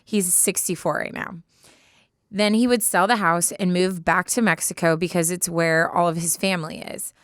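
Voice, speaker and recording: Clear, lower-pitched American woman. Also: fairly even intonation, normal speed, no echo, clean studio sound. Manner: informal, slangy. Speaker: podcast co-host. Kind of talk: casual banter and reading a listener letter aloud. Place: podcast studio.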